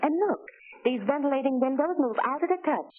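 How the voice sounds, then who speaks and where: A woman's voice: high-pitched, fairly quick, slight background noise, natural voice. An actress playing a well-bred, admiring lady car shopper, genteel and carefully enunciated, in 1940s promotional film style. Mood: delighted. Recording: tinny 1940 film soundtrack.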